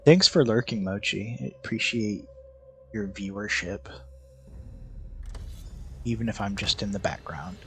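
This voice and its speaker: A deep-voiced man chatting casually while streaming a video game. His delivery is dry and monotone, and his pitch sits low. A faint hiss runs underneath. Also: unhurried, long pauses. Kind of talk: casual gameplay chat.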